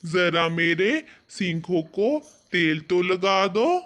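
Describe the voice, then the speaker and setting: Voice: medium pitch, expressive, slow, fairly bright tone, pitched below the performer's own voice. A performer voicing a determined, protective mother goat in a children's cartoon story, worried and commanding.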